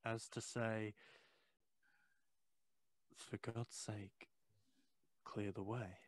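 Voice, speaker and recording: A man playing a curious, polite gentleman visitor in an audio drama, asking probing questions courteously. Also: fairly low pitch, level tone, extremely slow.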